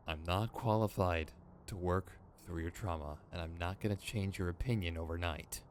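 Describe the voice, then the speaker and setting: Man playing exasperated villain with a soft spot, very animated pitch, unhurried pace, sardonic and emphatic, audio roleplay, noticeable background noise. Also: heavily acted. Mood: resigned, wry. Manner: conversational, sardonic, sweary, emphatic. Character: exasperated male villain with a protective, principled streak. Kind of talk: ASMR roleplay acting.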